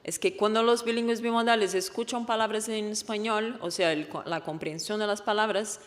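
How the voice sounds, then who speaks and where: Woman w portuguese accent, native portuguese speaker, giving a conference talk, explains stuff haltingly and fixes her words, big echoey hall. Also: medium pitch, steady tone. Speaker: researcher presenting a study. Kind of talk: conference presentation. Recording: echoey hall with long reverb.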